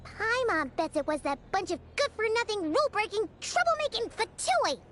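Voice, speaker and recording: A woman voicing a tiny, chatty, excitable female companion in a video game cutscene, talking about herself in third person. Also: very high-pitched, background noise. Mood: indignant. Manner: refers to herself in third person, quick mood swings. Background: game soundtrack music.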